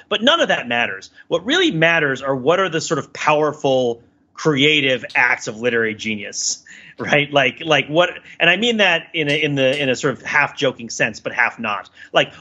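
A man speaking as a podcast panelist in casual, analytical discussion, animated and emphatic as he rambles through his points. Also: on the high side, moderate pace, lo-fi sound.

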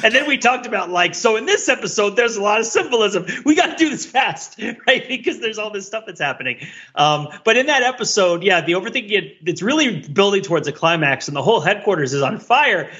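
A man with a slightly high, husky voice chats as a podcast guest. He tells stories in a rambling, animated way, with playful grand phrasing. The track sounds a little dull and lo-fi. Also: brisk. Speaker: podcast panelist. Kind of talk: casual chat, recollecting.

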